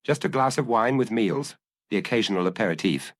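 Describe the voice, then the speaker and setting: Man acting an anguished fugitive clerk, animated pitch. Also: brisk, no room sound, studio quality. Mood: weary, defensive. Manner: agitated, confessional. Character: anguished, restless male fugitive office clerk on the run. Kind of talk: audiobook character dialogue.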